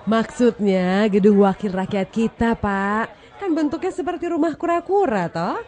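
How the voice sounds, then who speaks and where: A woman's voice: a little high, unhurried, darkish tone. A woman hosting a talk show, addressing guests and audience. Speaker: host.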